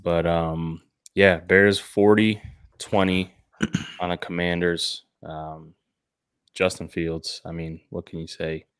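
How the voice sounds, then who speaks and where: A man's voice: low voice, little pitch movement, slow, clear, resonant tone, no echo, clean studio sound. A man hosting a sports podcast in casual chat, with a slight head cold in his voice.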